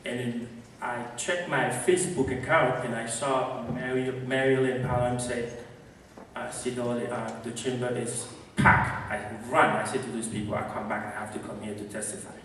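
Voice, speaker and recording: A man's voice: medium pitch, steady tone, normal speed, a bit bright, a little hiss or hum. Impassioned man addressing a city council. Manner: impassioned, rising to emphatic appeals. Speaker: local resident and advocate. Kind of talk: public testimony. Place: large council chamber indoors. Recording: echoey council chamber from far off.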